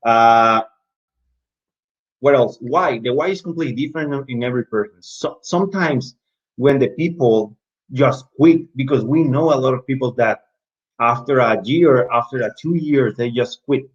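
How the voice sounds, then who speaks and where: A man's voice: mid-range voice, normal speed, highs cut off. A man with a Latin American Spanish accent giving an informal talk to referees over a video call, with many restarts, asking and answering his own questions. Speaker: basketball referee speaker in an online session.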